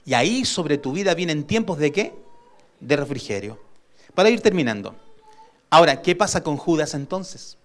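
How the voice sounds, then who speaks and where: A man's voice: on the high side, very animated, normal speed. A man preaching a sermon as pastor. He puts questions to the congregation and draws out key words for weight. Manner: emphatic preaching that asks the congregation for responses; swings between loud emphasis and soft asides.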